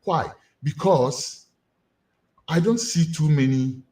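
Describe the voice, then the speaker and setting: A Ghanaian man giving a community lecture, asking rhetorical questions to his listeners. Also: fairly high pitch. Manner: animated, rhetorical questions to the audience.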